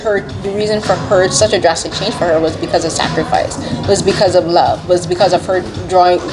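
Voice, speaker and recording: A woman in her forties from Barbados, interviewing a filmmaker in a casual, animated way, laughing often and quoting herself. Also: lowish voice, brisk, over music, rough recording. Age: 40s.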